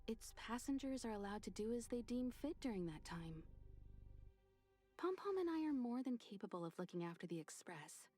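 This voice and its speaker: Husky, gentle female mentor. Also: fairly high pitch, big pitch swings, moderate pace, soft background music. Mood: reassuring, reflective. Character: gentle, reassuring, mature female mentor and crewmate. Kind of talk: scripted video game acting.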